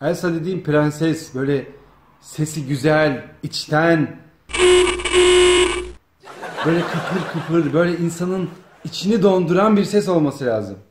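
A man's voice: on the high side, measured pace, long pauses. A man casually directing a comedy skit, prompting and instructing the others in a bossy way. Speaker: off-camera host directing a family comedy skit. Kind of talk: casual improvised skit banter. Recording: roomy echo with faint noise.

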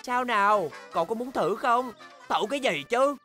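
A woman's voice: on the high side, lively intonation, normal speed, a bit bright, soft background music. A woman voicing an eager, stubborn young boy bear in a children's cartoon dub, exclamatory and determined. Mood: friendly, upbeat.

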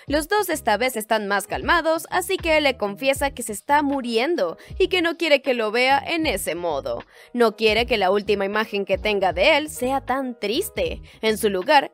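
A woman reading a scripted plot recap as a storytelling narrator. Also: high-pitched. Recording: voice-over with music underneath. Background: background music.

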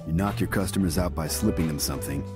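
An actor playing a stoic, tough gangster in an English game dub, terse, deadpan and menacing. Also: male voice, very deep voice, fast, music in the background. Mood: cold menace. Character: stoic, tough yakuza man in his thirties. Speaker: video game protagonist.

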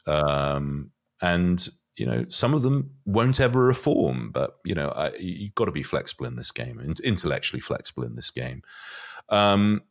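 A middle-aged man giving conversational market commentary as a guest, often halting and repeating words as he thinks. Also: rumbling low, sing-song, creaky. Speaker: podcast guest, finance commentator. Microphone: podcast mic on boom arm.

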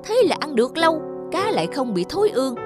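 A woman voicing a greedy, stingy old male landlord in a cartoon, gruff and bossy. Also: high voice, very animated, fast. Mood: scolding. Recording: studio voice with loud music.

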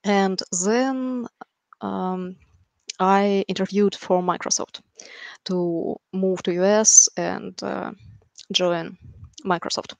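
A woman in her thirties with a Ukrainian accent, telling her story as an interview guest in a measured way with dry, understated humour. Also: medium pitch, fairly even intonation, vocal fry, no room sound. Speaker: podcast guest, software engineer. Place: room at home, video call. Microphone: headset with boom mic.

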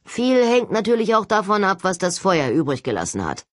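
A woman's voice: medium pitch, sing-song, a little fast, dry recording, studio quality, natural voice. A woman voicing a confident, reasoning teenage boy who leads young agents in an audiobook.

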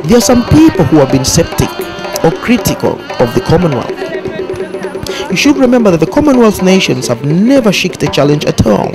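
A man narrating a documentary voice-over in a formal, lively way. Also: high-pitched, brisk. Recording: voice-over with clear background music.

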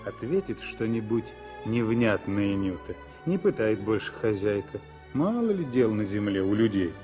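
A man narrating a 1960s radio drama as a lyrical, expressive storyteller with measured phrasing. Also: on the low side, lo-fi sound, over music. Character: lyrical male storyteller of a civil war tale.

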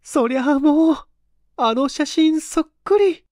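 A high-pitched, frightened chattering wife. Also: male voice, slow pace, darkish tone, no echo, clean studio sound. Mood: shaken, insistent. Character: frightened, talkative young wife insisting on a ghost.

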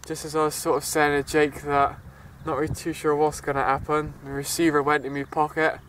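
Young man, casual, slightly high voice, lakeside noise. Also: little pitch movement, brisk, fairly bright tone. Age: young adult. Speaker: angler. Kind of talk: casual fishing commentary. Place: outdoors by a lake.

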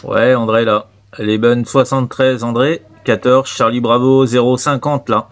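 A man's voice: mid-range voice, steady tone, measured pace, slight background noise. A man with an accent from France talking casually as a radio operator, rambling and repeating himself hesitantly. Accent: French from France. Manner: rambling, hesitant, repetitive, many tag words. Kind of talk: casual radio contact chat.

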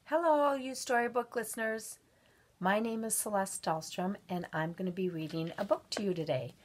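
A middle-aged woman reading a children's picture book aloud at home with expressive, drawn-out storytelling delivery. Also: medium pitch. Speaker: volunteer guest story reader. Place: small room at home.

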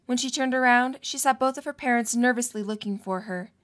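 Female narrator for a fan fiction audio drama, higher register, reading a scripted story. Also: fairly quick.

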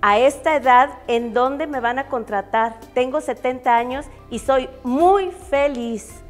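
A woman's voice: high-pitched, measured pace, soft background music. A woman of seventy giving a proud, emphatic testimonial to camera on a lapel mic. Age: 70s. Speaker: company testimonial speaker. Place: bright indoor studio.

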